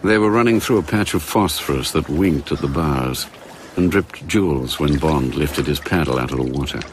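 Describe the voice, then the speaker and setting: An actor as the measured narrator of a radio spy drama, reading scripted storytelling. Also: male voice, a bass voice, slight background noise.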